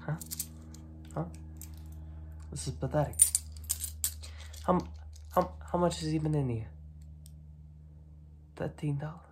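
A young man voicing a gruff, dismissive, streetwise mob boss in a soft-spoken ASMR roleplay, breathy and clipped. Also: fairly high pitch, a little hiss or hum, natural voice. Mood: incredulous, scornful. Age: young adult. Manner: slow, clipped tough-guy talk with repeated phrases. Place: small dim room at home, indoors.

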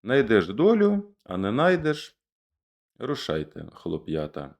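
A man's voice: lowish voice, huge pitch swings, takes it slowly, gravelly, pitched above the performer's own voice, heavily acted. A man voicing a fierce, commanding male Cossack rebel leader in a declaimed verse reading. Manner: rousing, declamatory.

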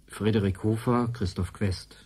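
A man's voice: on the low side, fairly even intonation, a little slow, a little mellow, slightly rough. A man reading closing credits as a radio announcer, in a formal scripted broadcast style.

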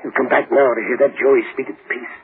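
An actor playing a desperate accused husband bent on revenge, intense and urgent with rough street talk, in 1940s radio drama style. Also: male voice, mid-range voice, fairly even intonation, fast. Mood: anguished, vengeful. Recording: tinny, noisy 1940s radio recording.

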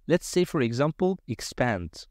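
Male tutorial presenter, mid-pitched, semi-improvised step-by-step walkthrough, close and dry voice-over. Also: big pitch swings, a little slow, a little mellow, no echo.

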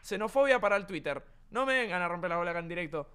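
A man's voice: high voice, expressive, very fast, very noisy. A young man from Argentina with an Argentine accent, streaming from his room and joking around, brash and swearing a lot. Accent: Argentine Spanish. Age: young adult. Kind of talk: casual livestream chat. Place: home streaming room with green screen.

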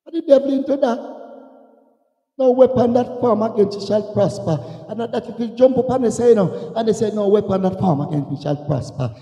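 Male preacher, high gravelly voice, fervent sermon delivery, short repeated phrases, rhythmic interjections. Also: a little fast, dark tone. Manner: emphatic, repeats phrases for effect. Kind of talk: fervent call-and-response Pentecostal sermon. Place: large echoing indoor room, preaching to a congregation. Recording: echoey reverberant hall.

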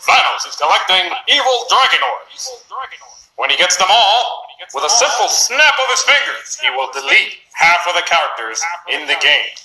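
A man's voice: high voice, big pitch swings, moderate pace, roomy sound, background noise. A man voicing a bossy male puppet team captain in a live puppet parody. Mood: deadpan.

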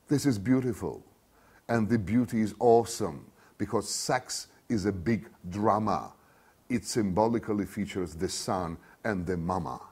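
A man in his fifties reads from a novel in a fairly low voice. His pace is very slow and measured, with deliberate pauses. The delivery is dramatic and declamatory, like an author performing literary prose. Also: darkish tone. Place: small bare studio room, seated at a desk.

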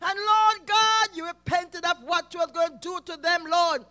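A man leading an impassioned intercessory prayer, calling out to God again and again, often close to shouting. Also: extremely high pitch, normal speed. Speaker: prayer leader.

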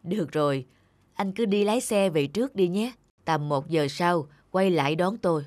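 A man voicing a kindly older businessman husband in a cartoon, measured and calmly authoritative. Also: high voice, dry recording, lightly acted. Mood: calm, assured. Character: kindly, composed grey-haired businessman husband in late middle age. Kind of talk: animated cartoon dubbing.

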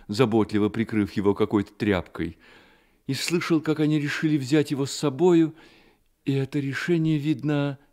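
A man narrating a literary radio drama as an expressive storyteller. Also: mid-range voice.

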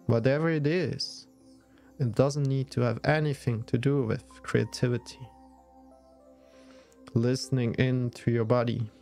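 A man leading a guided yoga nidra meditation in a soothing, measured way with long pauses. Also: medium pitch, fairly even intonation, a little mellow, soft background music.

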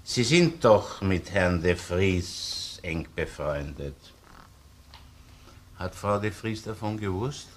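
A patient male police officer from a crime radio play, with a colloquial Austrian accent. His voice is on the low side and very unhurried as he admonishes. Faint noise and clicks sit behind him. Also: lively intonation. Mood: admonishing. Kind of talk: German-language crime radio play acting.

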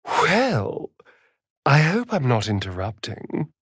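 A man's voice: medium pitch, wildly swooping pitch, takes it slowly, fairly bright tone, husky, no echo, studio quality, full character voice. A man playing a vain, sardonic vampire rogue in a fantasy video game, with an arch, flamboyant drawl. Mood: teasing.

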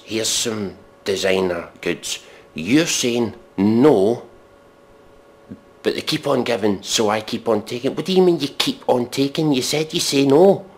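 A man giving a sarcastic dramatic reading with commentary, deadpan, mock-earnest and sweary. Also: mid-range voice.

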